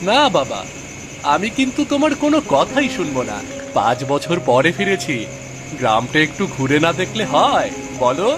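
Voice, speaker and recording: High-voiced, lively young man, acted by a man. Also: moderate pace, long pauses, music in the background. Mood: casual, reassuring. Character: earnest young village son back from the city. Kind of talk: animated cartoon story dialogue.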